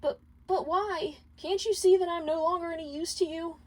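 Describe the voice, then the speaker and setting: A woman's voice: extremely high pitch, steady tone, moderate pace, a little mellow, pitched above the performer's own voice. A woman voicing a despairing male imp in a fanfiction reading, stammering, tearful and pleading. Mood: wailing despair.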